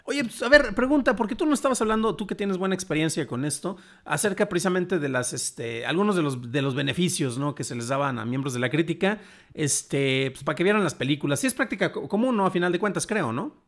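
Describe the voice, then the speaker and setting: Man, Mexican accent, high voice, casual host, colloquial, halting delivery. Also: animated. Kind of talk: casual live show commentary. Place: small home room, indoors.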